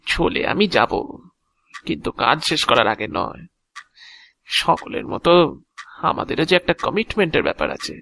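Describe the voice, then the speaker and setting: A man acts out an agent of Death in an audio drama, proud of his job and quick to take offence. The voice is bright and animated, recorded close and dry. The delivery is theatrical and self-important. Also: a little high, creaky, dry recording, full character voice. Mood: firm, businesslike.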